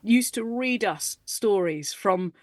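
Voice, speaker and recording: A woman in her forties talking as a guest on a radio interview, eager and animated, and quick to laugh. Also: mid-range voice, no echo. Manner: animated, enthusiastic, laughs easily. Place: radio studio.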